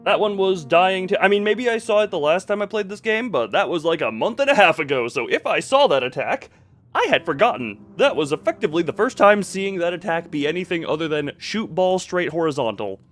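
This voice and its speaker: A man's voice: high voice, very animated, a little fast. A man live-streaming a video game with casual commentary and chat with viewers, rambling in half-finished thoughts with playful sound words.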